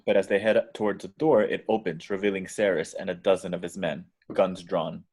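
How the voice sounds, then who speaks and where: A man's voice: deep voice, level tone, a little fast, darkish tone, slightly rough. A man reading out the action descriptions as scripted narration in a table read.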